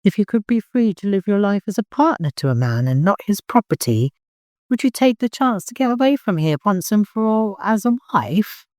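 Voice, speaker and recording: A narrator voicing a wistful, higher-pitched woman. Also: sing-song, moderate pace, a little mellow, dry recording, studio quality, lightly acted. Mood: pleading. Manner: earnest, emotional. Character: wistful, pleading woman, sad and worldly.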